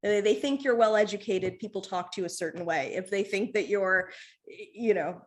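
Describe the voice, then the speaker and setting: A woman speaking in a relaxed, rambling way as a podcast guest. Her pitch sits in the middle, and she laughs easily and restarts her sentences often. The overall feel is casual, animated conversation. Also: moderate pace. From North America.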